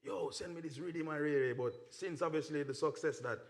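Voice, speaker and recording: A man's voice: fairly high pitch, a little fast. A Jamaican man, a dancehall artist, chatting casually in an interview in slangy, emphatic talk peppered with "you know".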